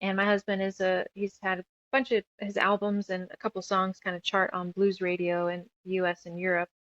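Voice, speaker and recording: A woman from New Mexico speaking as a guest in a casual interview, earnest and emphatic, with halting phrasing. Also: medium pitch, monotone, fairly bright tone, dry recording, highs cut off. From New Mexico, United States. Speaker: interview guest, author and radio show producer. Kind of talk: casual interview conversation, personal reflection.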